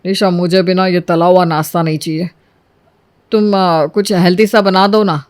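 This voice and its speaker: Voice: medium pitch, steady tone, moderate pace, smooth, natural voice. A storyteller voicing a grumbling adult man of the household who objects and insists on his habits. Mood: mild, asking. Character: grumbling adult brother-in-law attached to his usual food.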